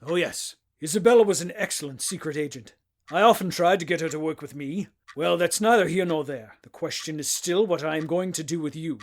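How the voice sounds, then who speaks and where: Guy acting posh-mannered villain professor in a radio play, highish voice, polite but coolly menacing. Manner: polite, unhurried, understated menace. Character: urbane, coolly menacing professor, secretly a foreign agent. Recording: home-recorded audio play, faint insect-like hiss.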